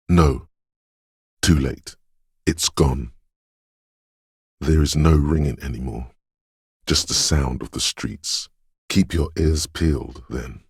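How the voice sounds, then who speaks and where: A man voicing a brooding, cryptic inner voice of intuition in a video game, speaking in a hushed, deliberate, portentous way. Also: rumbling low, breathy edge, dry recording, clean studio sound, heavily acted. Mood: dreamy, searching. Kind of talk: scripted video game narration.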